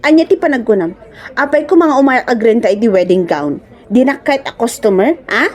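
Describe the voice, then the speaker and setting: A woman's voice: a little high, lively intonation, brisk, a little mellow. A woman with a Filipino accent playing a playful, teasing young woman in a radio comedy drama.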